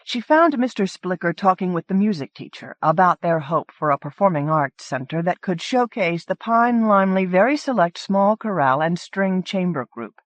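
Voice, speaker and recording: A woman's voice: lowish voice, expressive, normal speed, few pauses, dry recording. Dry-sounding woman narrating fiction. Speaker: audiobook narrator.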